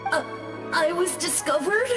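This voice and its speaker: A woman's voice: very high voice, lively intonation, unhurried, over music. A woman voicing a shy, apologetic little boy ghost in a video game, speaking in an earnest, hesitant way. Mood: nervous. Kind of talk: English video game voice acting.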